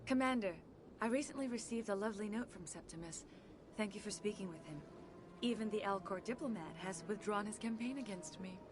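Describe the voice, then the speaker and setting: A woman playing a gracious, wise alien consort in a video game, speaking in a formal, measured, solemn way. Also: medium pitch, background noise, lightly acted. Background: game music.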